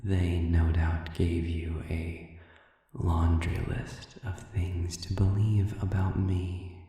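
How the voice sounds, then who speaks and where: A man playing a sadistic, manipulative demon in an intimate audio roleplay, murmuring smoothly with false humility, deliberate and breathy with long pauses. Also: deep voice, darkish tone, recorded from a distance. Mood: quietly determined. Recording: cavernous reverb, voice set back.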